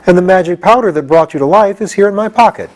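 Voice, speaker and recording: A man's voice: sits high, fast talker, voice pushed up, close to an everyday voice. A man voicing an earnest, practical young boy in a storybook, explaining things matter-of-factly with a touch of impatience. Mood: surprised.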